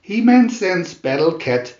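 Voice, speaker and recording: A man reading a storybook aloud as dramatic narration, in a theatrical, deliberate manner with emphatic announcements. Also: sits high, big pitch swings, a little mellow, echoey room, lo-fi sound. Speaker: reader of picture-reel story booklets.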